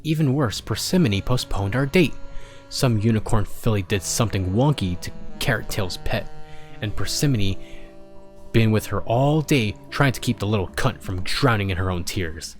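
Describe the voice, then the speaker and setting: A man's voice: on the low side, expressive, moderate pace, lightly acted. A man voicing a grumpy, sarcastic pony maintenance supervisor who dictates log entries in a story. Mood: exasperated. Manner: exasperated, sarcastic, swearing. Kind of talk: dramatic storybook character reading. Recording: music behind the voice.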